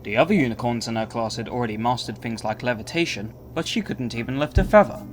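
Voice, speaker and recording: A man's voice: mid-range voice, animated, quick pace, slight background noise, close to an everyday voice. A man delivering scripted storybook narration as the narrator of an audio drama.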